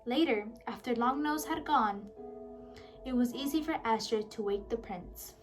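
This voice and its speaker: A woman reading a children's storybook aloud with lively, expressive narration. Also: fairly high pitch, unhurried, faint music behind. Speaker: audiobook reader.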